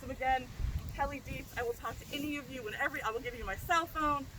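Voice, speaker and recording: High-voiced woman speaking outdoors over noise. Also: quick pace. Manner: enthusiastic, talkative, says "right?" often. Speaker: professor and nonprofit founder. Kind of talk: outdoor public speech. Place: outdoors at a historic site.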